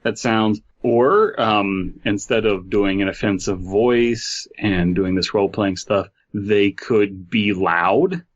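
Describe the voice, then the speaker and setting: A man co-hosting a podcast in casual comedic banter with a deadpan, sarcastic delivery. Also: on the low side, takes it slowly, hardly any pauses. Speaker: podcast co-host. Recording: dull lo-fi voice track.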